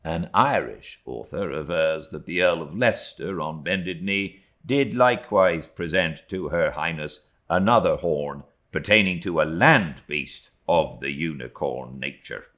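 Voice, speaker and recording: A British man narrating literary fiction as an audiobook, in an expressive, theatrical storytelling manner. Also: extremely low pitch, unhurried, few pauses. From Britain.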